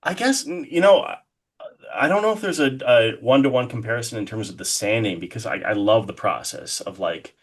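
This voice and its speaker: A man's voice: medium pitch, expressive, fairly quick. A man speaking as an interview guest in a casual, rambling, informal way with lots of hedging. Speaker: interview guest, horror artist.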